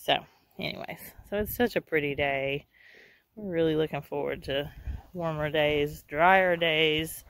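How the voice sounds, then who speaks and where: A goat breeder gives a casual, chatty farm tour, laughing softly and talking to her goats as she walks around an outdoor pen. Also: low-pitched, a little slow, no room sound. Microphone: handheld camera.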